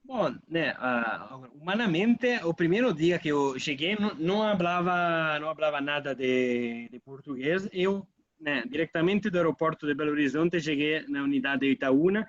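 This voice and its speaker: A man's voice: fairly high pitch, lively intonation, moderate pace, no echo, muffled recording. A man from Italy, a guest on a radio interview who talks hesitantly with many fillers and restarts. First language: Italian.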